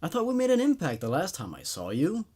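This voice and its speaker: A man voicing an attentive male psychiatrist in a horror story, measured, clinical and questioning. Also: fairly high pitch, wildly swooping pitch, darkish tone, breathy edge, voice pushed up, exaggerated voice. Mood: measured, inquiring. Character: probing, attentive male psychiatrist taking notes.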